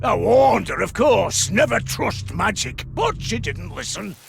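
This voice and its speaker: A man voicing a gruff, boisterous dwarf engineer in a video game, hearty, colloquial and boastful, with a gravelly voice. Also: high-pitched, big pitch swings, unhurried, heavily acted. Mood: wry, grumbling. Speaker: mission-briefing game character. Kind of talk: scripted video game voice lines.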